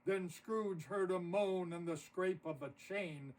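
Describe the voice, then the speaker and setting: Slow, dramatic male storybook narrator. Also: high voice, darkish tone. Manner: dramatic, deliberate phrasing. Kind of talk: expressive rhymed storybook narration. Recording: distant mic with audible noise.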